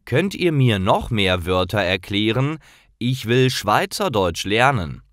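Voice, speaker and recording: A man plays an enthusiastic young male visitor in a storybook for language learners. He is friendly and eager, with lively swings in pitch and slow, careful pacing. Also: dry recording, studio quality, full character voice.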